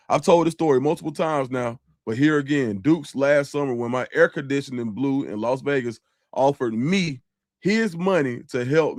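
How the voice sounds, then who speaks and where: A man co-hosting a casual live-stream podcast, reading viewer donations aloud in a relaxed, slangy, grateful way. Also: fairly high pitch, dry recording, studio quality. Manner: relaxed and grateful, slangy, calls people dog and bro. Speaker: show host reading super chats.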